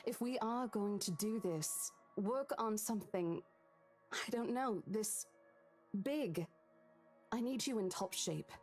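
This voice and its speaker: An actress playing a caring young real estate agent who talks in a reassuring, coaxing way in a horror visual novel. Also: female voice, mid-range voice, takes it slowly, a little mellow, soft background music, close to an everyday voice.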